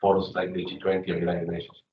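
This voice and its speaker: A man's voice: low voice, monotone, fast. A man from Ecuador asking a question from the seminar audience, searching for his words. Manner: searching for words, with many fillers. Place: seminar room. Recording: roomy, distant and muffled.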